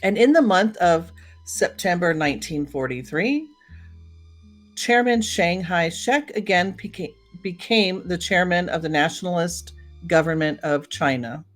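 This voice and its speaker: A woman's voice: lowish voice, expressive, measured pace, soft background music. A woman hosting a solo podcast in a casual, chatty way, talking directly to her listeners. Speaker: podcast host. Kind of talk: casual solo podcast commentary on old time radio.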